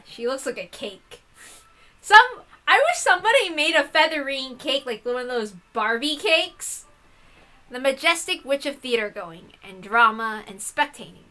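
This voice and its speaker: A woman's voice: high voice, sharp tone. A woman hosting a game playthrough, reading text aloud and adding playful, casual asides. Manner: playful, giggly, swearing asides.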